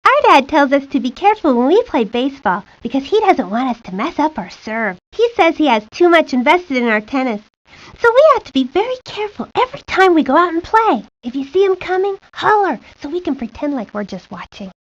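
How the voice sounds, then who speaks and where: Casting: guileless sporty girl for a kids' game, high lively voice, chatty; dull lo-fi audio. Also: brisk, no echo. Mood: chatty, earnest. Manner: earnest, guileless. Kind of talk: video game character monologue. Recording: dry, lo-fi 1990s game audio.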